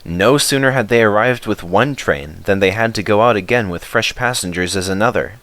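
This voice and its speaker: A man reading a children's story aloud in an expressive scripted narration style. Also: on the low side, fairly quick, no echo.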